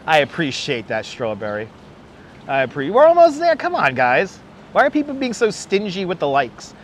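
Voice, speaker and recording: A man from Brooklyn with a faint New York accent chats his way through a live street stream, rambling and greeting viewers by name. His voice sits a little high. He talks into a clip-on mic outdoors, with soft city traffic humming behind him. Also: wildly swooping pitch, moderate pace. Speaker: livestream host. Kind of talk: casual live-stream chat while out in the city. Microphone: wireless clip-on mic. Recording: street noise in the background.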